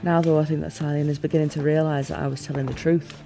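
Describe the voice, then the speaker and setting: A woman playing a teasing, playful fantasy ruler in a scripted audio roleplay, with formal, expressive phrasing. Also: sits low, quick pace, faint music behind. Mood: reflective, candid.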